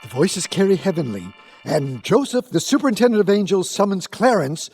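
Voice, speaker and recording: A man's voice: high-pitched, very animated, brisk, a little mellow, slightly rough, dry recording, full character voice. A man playing a genial, theatrical old-time radio announcer narrating a story in a folksy way. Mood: genial, storytelling. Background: music.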